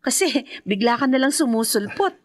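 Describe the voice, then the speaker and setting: Actress as a lively high-voiced gentle young woman. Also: moderate pace, natural voice. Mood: curious, fond. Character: kind, gentle young woman, friendly and curious. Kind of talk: radio drama acting.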